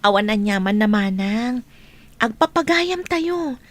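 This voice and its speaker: A woman's voice: on the high side, expressive, a little slow. A woman playing a caring, sympathetic neighbour offering comfort in a radio drama. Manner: sympathetic, encouraging. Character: Marcy, caring younger neighbour consoling a widow.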